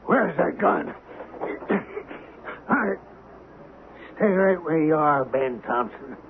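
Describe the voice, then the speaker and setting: A blustering, greedy Old West rich man in a vintage radio drama. His gravelly voice runs fairly high and swings widely, taking its time as he falters. The broadcast is tinny and noisy. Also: animated, slow, heavily acted. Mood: cold, menacing. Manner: blustering, faltering when rattled. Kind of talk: 1970s radio drama acting.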